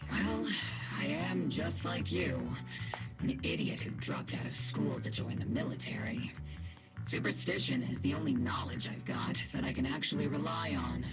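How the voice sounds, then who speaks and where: Low-voiced, brash robot captain. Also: female voice, animated, moderate pace. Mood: wry, casual. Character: brash, superstitious female robot squad captain, casual soldier. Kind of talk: scripted video game acting. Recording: loud music behind the voice.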